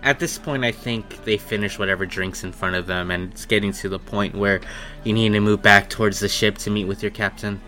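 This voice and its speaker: A man runs a tabletop game, describing scenes off the cuff. His voice is low and bright, and his phrasing halts as he pieces the picture together. Faint music plays underneath. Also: steady tone. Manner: halting, descriptive. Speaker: game master. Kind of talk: improvised tabletop game narration.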